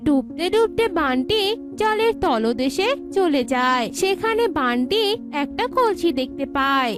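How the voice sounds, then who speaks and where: A woman's voice: high voice, sing-song, unhurried. A woman storyteller reading scripted narration for a children's cartoon. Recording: faint music behind the voice.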